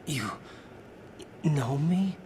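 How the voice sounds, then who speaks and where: An actor voicing a bewildered, earnest young soldier in a video game, with a clipped, questioning delivery. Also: male voice, on the high side, animated, very slow, warm tone, a little hiss or hum. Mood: dazed. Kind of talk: dramatic video game cutscene acting.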